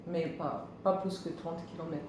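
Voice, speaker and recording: A woman's voice: sits low, fairly even intonation, moderate pace. A middle-aged woman from Kenya with a Kenyan accent, a native English speaker answering job interview questions in hesitant French, searching for words with many fillers. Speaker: job seeker. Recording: very echoey room with noise.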